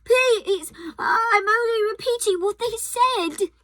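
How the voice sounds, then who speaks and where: A woman's voice: very high voice, a little slow, a bit bright, no room sound, pitched above the performer's own voice. A woman voicing a frightened young boy who stammers and trembles as he speaks. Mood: pleading. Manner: stammering, breathless.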